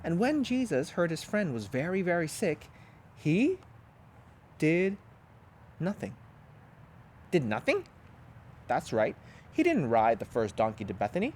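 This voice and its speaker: A man, a pastor, reading a children's storybook aloud with animated, expressive storytelling. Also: on the high side, a little slow, pauses often, slight background noise.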